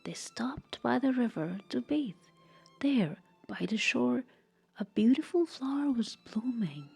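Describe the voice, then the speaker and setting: A woman storyteller reading a scripted folktale in a soft, measured, expressive read-aloud way. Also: on the high side, creaky, breathy edge, faint music behind.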